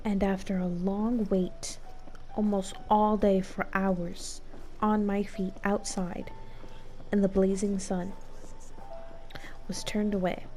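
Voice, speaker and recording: A woman streamer giving playful, theatrical gameplay commentary with deadpan joking, game music behind her. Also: medium pitch, takes it slowly, long pauses. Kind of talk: playful live gameplay commentary with improvised dramatics.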